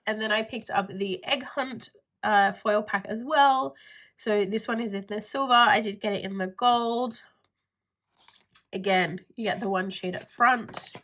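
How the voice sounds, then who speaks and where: A woman's voice: medium pitch, a little slow, fairly bright tone. A woman with a stuffy nose and a nasal voice, chatting casually through a planner haul video while she handles her items. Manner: chatty, rambling with "like" fillers. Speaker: planner vlogger.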